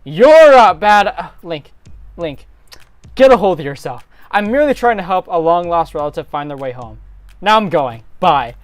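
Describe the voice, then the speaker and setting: A reader acting out a ghost of a long-gone hero in a fantasy game story. He is cagey and condescending, dangling deals in a teasing way, in a mid-pitched voice with very little room sound. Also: sing-song, moderate pace, no echo, lightly acted. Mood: exasperated.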